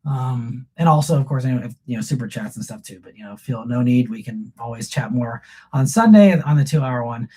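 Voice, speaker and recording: A man's voice: medium pitch, lively intonation, fairly quick, dark tone, slightly rough. A male host of a live car stream with a scratchy voice from a cold, answering viewer questions in a loose, rambling way while sitting in a room at home. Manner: loose, rambling, thinks aloud. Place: room at home with shelves behind him.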